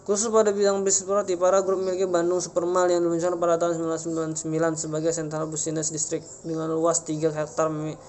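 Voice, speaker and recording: A young man, a student reading a prepared presentation aloud in a halting way, stumbling over and repeating words. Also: a little high, monotone, a little mellow, slight background noise. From Indonesia. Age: young adult. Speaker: university student giving a presentation. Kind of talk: scripted narration read aloud. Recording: music playing behind the voice.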